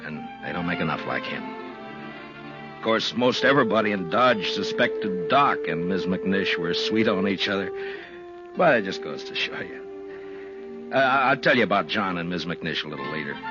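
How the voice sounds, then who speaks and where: A man's voice: sits low, animated, normal speed. An actor playing an easygoing, modest frontier marshal in a 1950s radio western, conversational and a little hesitant in his plain-spoken way. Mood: friendly. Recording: muffled 1950s radio recording with music.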